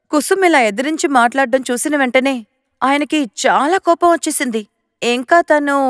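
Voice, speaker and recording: A woman's voice: high-pitched, dry recording, studio quality. A woman narrator reading a scripted moral story.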